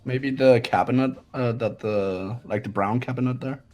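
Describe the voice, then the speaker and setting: A man's voice: mid-range voice, steady tone, normal speed, a little mellow. A man talking casually as a player in a group game playthrough. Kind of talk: casual chat.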